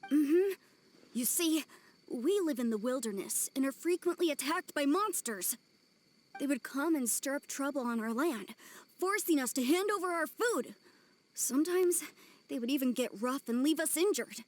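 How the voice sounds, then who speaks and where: High-voiced plucky little yokai. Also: female voice, expressive, normal speed. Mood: earnest, eager. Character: plucky little yokai creature, earnest, defiant, childlike. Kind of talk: video game voice acting.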